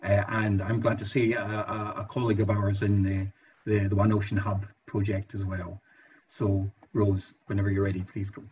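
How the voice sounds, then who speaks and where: A man's voice: lowish voice, little pitch movement, normal speed, a little mellow. A middle-aged man hosting an online event introduces the next speaker over a video call, hesitant, repeating words. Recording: dull video call audio with faint noise.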